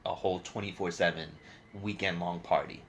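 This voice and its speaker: A man's voice: sits low, steady tone, a little fast, fairly bright tone. A man hosting a casual podcast, chatting informally with slang and frequent swearing. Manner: profane, slangy, informal talk. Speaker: podcast host. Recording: clear background noise.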